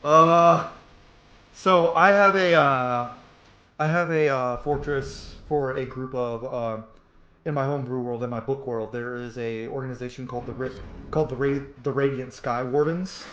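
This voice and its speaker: A man's voice: medium pitch, a bit bright. A man giving casual gameplay commentary in an effusive, rambling, informal way. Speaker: gamer doing a playthrough. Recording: roomy, echoey voice pickup.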